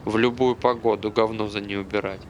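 A man's voice: fairly low pitch, fairly even intonation, brisk, darkish tone, muffled recording, a little hiss or hum, lightly acted. An actor playing a bitter, aggrieved man who hates dogs, grumbling conversationally in a naturalistic stage play.

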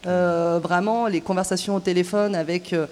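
A woman's voice: on the low side, steady tone, normal speed, darkish tone. A woman stage director and author, talking in a live festival interview, hesitant, with many fillers and restarts. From southern France. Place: outdoor cloister at a festival. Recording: echoey outdoor cloister, live broadcast.

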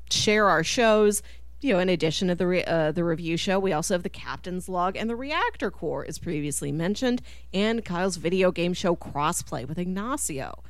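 A woman with a mid-pitched voice hosts a casual podcast film discussion. She is expressive and animated in her delivery. The sound is dry and close, with no room on it. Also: smooth, no echo. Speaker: podcast host.

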